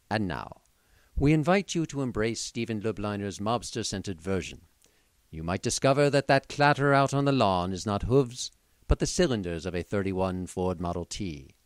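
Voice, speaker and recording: A man's voice: lowish voice, dry recording. A man giving a formal, literate scripted radio introduction as the announcer.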